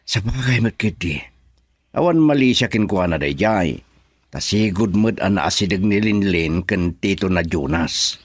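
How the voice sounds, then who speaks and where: A man's voice: low-pitched, lively intonation, moderate pace, no room sound, studio quality. A man playing a middle-aged father in a radio drama, mildly chiding, with an Ilocano accent. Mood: musing.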